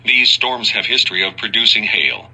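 A man's voice: fairly low pitch, expressive, moderate pace, bright, forceful tone, husky. A man anchoring storm coverage in the style of a TV weather news broadcast. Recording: dull, noisy lo-fi recording.

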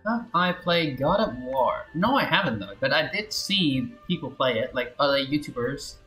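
A man's voice: mid-range voice, lively intonation, normal speed, pushed and bright. A young man streaming a game with casual, laid-back and slightly hesitant chat. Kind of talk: casual livestream gameplay chat. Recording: roomy echo, game music behind. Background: game music.

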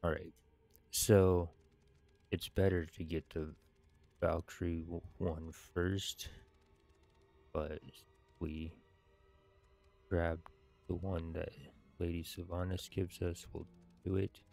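A man in his thirties who hosts gaming videos, reading game text and commenting in a measured, halting, casual way. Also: low voice, flat delivery, vocal fry, darkish tone, faint music behind. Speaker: gaming video host reading quests aloud. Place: indoors at a computer.

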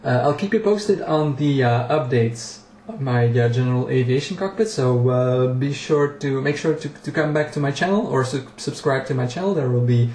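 A man whose mother tongue is Dutch gives a casual home-video walkthrough in a mellow, unhurried voice, pausing often on fillers and restarting his sentences. It was caught on an action camera in a small, echoey room with a faint hum behind. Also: medium pitch. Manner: hesitant, many fillers and restarts. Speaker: home cockpit builder and vlogger.

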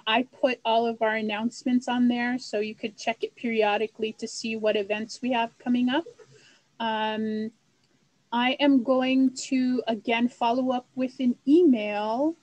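A woman's voice: on the high side, low-quality recording. Chatty woman hosting a video call. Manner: friendly, chatty, loose with fillers. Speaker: meeting host for a transit riders club. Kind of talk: casual video-call meeting. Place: indoors with a virtual background. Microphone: video call webcam.

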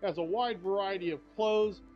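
Older male vlogger, upbeat and chatty walking-tour guide, fairly high voice, camera-mic sound with faint shop music. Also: normal speed. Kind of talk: casual walking tour narration. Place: shops and streets of a small town, indoors and out. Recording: slightly dull sound, faint music behind.

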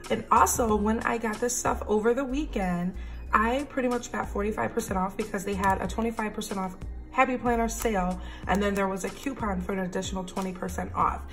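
A woman in her thirties talks in a raspy, slightly deep morning voice, chatty and excited as she shows off her purchases. She sounds close, as if at a table at home under an overhead camera. Soft music plays faintly beneath her. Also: a little fast. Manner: chatty, enthusiastic. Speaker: planner vlogger. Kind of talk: casual haul and flip-through.

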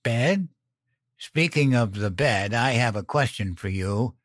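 A male reader puts on a distraught mother in an audiobook. The voice is high, harsh and tight, strained with anguish yet defiant. It sounds close and dry. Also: very animated, slow, no echo, heavily acted. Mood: tense, accusing.

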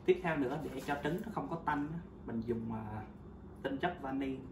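A man's voice: mid-range voice, moderate pace, distant mic. A man in his thirties from Vietnam, casually walking viewers through a homemade recipe in his kitchen, chatty with frequent hesitations. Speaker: home cooking vlogger. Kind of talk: casual how-to demonstration. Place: home kitchen, indoors. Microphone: camera mic on counter. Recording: roomy kitchen, voice off-mic, loud background noise.

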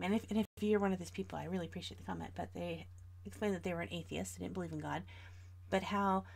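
A woman's voice: on the low side, gravelly, a little mellow. An American woman of about forty chatting casually and informally to viewers as the host of an art livestream. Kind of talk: casual livestream chat while drawing.

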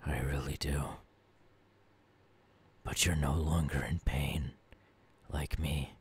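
Menacing, tormented ghoul king, ASMR audio drama. Slow, brooding delivery, very breathy, husky, half-whispered. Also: a bass voice, steady tone, heavily acted. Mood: menacing, hungry. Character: tormented, menacing young male ghoul turned self-crowned king. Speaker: voice actor. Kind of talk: ASMR audio roleplay monologue.

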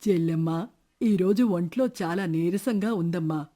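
A woman's voice: fairly low pitch, normal speed, warm tone, natural voice. A woman voicing a stingy, self-righteous middle-aged village man who wheedles and argues in a moral story. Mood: wheedling. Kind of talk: dramatised moral story narration.

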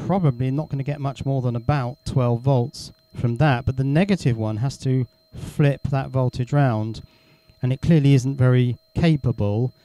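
A man's voice: medium pitch, dark tone. Man casually explaining at a workbench. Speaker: electronics hobbyist presenter. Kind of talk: casual workbench demonstration talk. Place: indoor electronics workbench.

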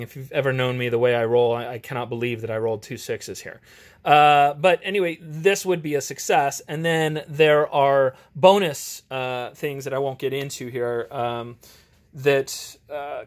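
A man giving a casual, off-the-cuff game review as an amateur host, rambling, enthusiastic and self-deprecating. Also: mid-range voice. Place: home garage.

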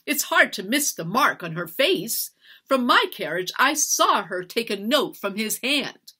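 A woman voicing a haughty, cruel mistress of a household in a storybook reading, imperious and given to shrill, emphatic outbursts. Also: big pitch swings, a little slow, bright, forceful tone, slightly rough, heavily acted. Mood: scandalized, mocking. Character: haughty, cruel, raging slave-owning mistress.

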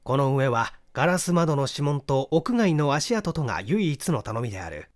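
Man narrating a mystery, lively pitch. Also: normal speed. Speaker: audiobook reader. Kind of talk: scripted literary narration of a mystery novel.

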